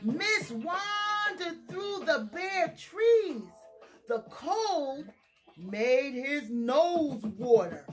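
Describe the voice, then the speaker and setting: A woman's voice: high voice, big pitch swings, very slow, faint music behind. A woman hosting a children's storytime in a theatrical, emphatic, singsong read-aloud style, drawing out and repeating phrases.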